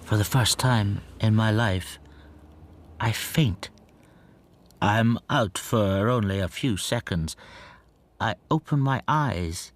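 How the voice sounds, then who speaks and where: An actor playing a meek, anxious stamp dealer in a radio drama, halting and defensive as he speaks. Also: on the low side, a little hiss or hum. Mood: quiet narrating. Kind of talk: BBC radio drama dialogue.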